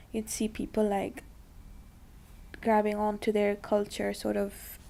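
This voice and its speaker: A woman's voice: mid-range voice, gravelly, mellow. A young woman from Dubai, talking casually in an interview, full of likes and you-knows. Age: young adult.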